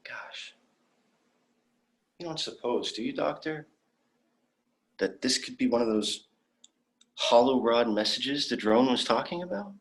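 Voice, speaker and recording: An eager, bookish young alien traveller played by a young man. His medium-pitched voice is earnest, polite and wondering, in the manner of a sci-fi audio drama. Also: animated, measured pace, long pauses. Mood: dawning intrigue. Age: young adult.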